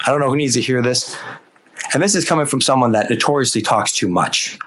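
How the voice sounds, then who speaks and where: A man's voice: mid-range voice, fast, a bit bright. A man hosting an opinion podcast, ranting casually in an exasperated, sarcastic way. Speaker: podcast host.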